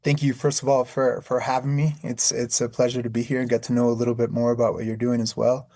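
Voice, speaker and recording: A man from Peru speaks as a podcast guest, his delivery calm and reflective with little rise and fall in pitch. He often repeats words as he gathers his thoughts, and the sound is dry and close. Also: quick pace, no room sound. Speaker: guest, healing arts teacher. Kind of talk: interview answers.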